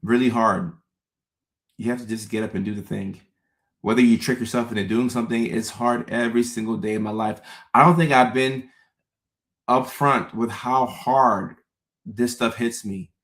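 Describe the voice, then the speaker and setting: A man in his thirties from Ghana, hosting a relaxed livestream and chatting with viewers, often repeating his phrases. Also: medium pitch, moderate pace. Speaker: host. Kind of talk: casual livestream chat.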